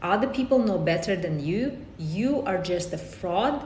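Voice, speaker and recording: A woman's voice: animated, unhurried, darkish tone. A woman from Romania with a Romanian accent, a life coach hosting a live-streamed workshop, greeting viewers by name and often repeating or restarting her phrases. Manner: greets viewers by name and reads comments aloud. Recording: echoey room with faint rumble.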